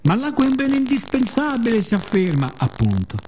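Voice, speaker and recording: A man performing an expressive, playful theatrical monologue for radio theatre. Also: very high-pitched, a little fast, faint music behind. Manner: expressive, with playful spoken sound effects.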